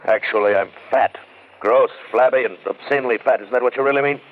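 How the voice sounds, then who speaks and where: Casting: male actor for obsessed rich recluse in a radio thriller, mid-pitched, abrupt and brooding, thin narrowband sound. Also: a little fast, natural voice. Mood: edgy, bitter. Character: wealthy, obsessed, secretive recluse, brooding and touchy. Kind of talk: 1940s-50s radio drama acting. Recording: band-limited old-time radio recording.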